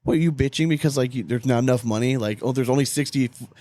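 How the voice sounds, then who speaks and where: A man with a slightly low voice talks as a podcast co-host. He rambles emphatically with a sarcastic edge and some swearing, and the sound is dry and close. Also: fast, dark tone, dry recording. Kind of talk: casual banter, profane.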